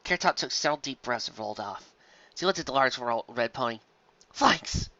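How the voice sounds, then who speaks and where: A reader reading a pony fan story aloud with expressive storytelling. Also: medium pitch, fast talker, no echo, lo-fi sound.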